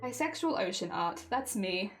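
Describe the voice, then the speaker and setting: A woman's voice: medium pitch, big pitch swings, moderate pace. A young woman with a cold and asthma, wheezing as she chats with her livestream viewers while embroidering. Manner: chatty, greets and answers chat viewers, laughs often.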